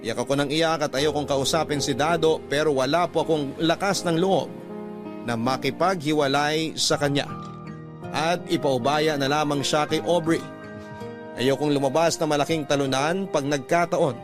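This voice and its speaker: A man with a fairly high voice reads a heartfelt letter as a radio drama narrator. He tells it in the first person as a personal confession, with music clearly playing behind him. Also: steady tone, moderate pace. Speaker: narrator reading a listener's letter. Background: background music.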